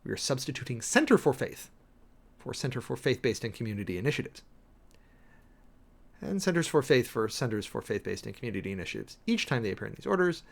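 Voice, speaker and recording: An American man reading official documents aloud dramatically and commenting closely on them, with emphatic, deliberate, theatrical phrasing. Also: medium pitch, big pitch swings, gravelly. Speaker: streamer, preacher host.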